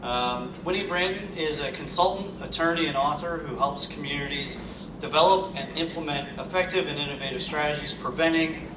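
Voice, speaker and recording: A man hosting an event who introduces the keynote speaker, halting, with many fillers and restarts. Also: on the high side. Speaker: event host. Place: conference hall. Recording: echoey hall, distant mic, noisy.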